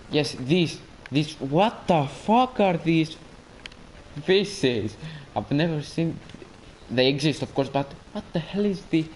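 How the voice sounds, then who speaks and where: A man's voice: medium pitch, darkish tone, a little hiss or hum, poor audio. A man with a Greek accent chatting casually and joking while streaming a game and reading chat.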